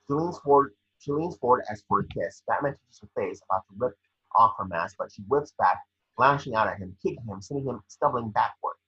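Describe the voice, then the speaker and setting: A man's voice: mid-range voice, animated, a little fast, pushed and bright, breathy edge, lo-fi sound. A man narrating screenplay action in an amateur table read, occasionally stumbling over the script. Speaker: scene-description reader.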